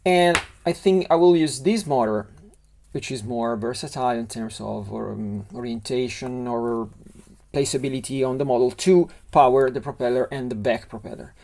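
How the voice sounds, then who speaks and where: A man's voice: mid-range voice, gravelly, a little mellow. Italian man thinking aloud as he designs. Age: middle-aged. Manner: halting, restarts words while sketching. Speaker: LEGO model designer.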